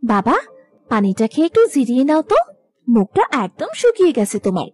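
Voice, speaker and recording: A woman's voice: fairly high pitch, sing-song, normal speed. A woman voicing a sweet, caring young village daughter in a moral-story cartoon, girlish and earnest. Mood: concerned.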